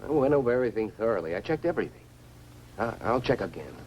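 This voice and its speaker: An actor playing a rugged, practical technician at a remote station in a 1950s science fiction film. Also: male voice, lowish voice, sing-song, fast talker, noisy background. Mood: matter-of-fact. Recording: old film soundtrack with music behind.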